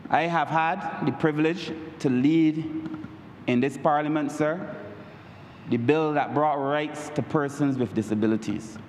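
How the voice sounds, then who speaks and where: A man's voice: a little slow, a little mellow, slight background noise. A man with a Barbadian accent giving an emphatic parliamentary speech, repeatedly addressing the Speaker. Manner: oratorical, repeats "Mister Speaker, sir" as a refrain; emphatic, rises in pitch on key points. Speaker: member of parliament. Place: large parliament chamber. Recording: echoey chamber with long reverb.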